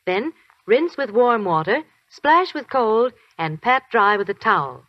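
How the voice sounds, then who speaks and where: Sweet housewife in an old radio ad, bright pitch, lo-fi dry sound. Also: female voice, big pitch swings, a little slow, no room sound. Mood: coaxing. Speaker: actress in a commercial. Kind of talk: 1940s radio ad acting. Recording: dry, muffled 1940s radio broadcast.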